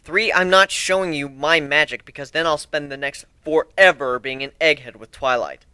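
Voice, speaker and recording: A man's voice: fairly high pitch, moderate pace, pushed and bright, clean tone, no echo. A man playing a sarcastic, cocky griffin pirate in an amateur story reading, with a flippant manner. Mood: stubborn, sardonic. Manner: flippant, sardonic.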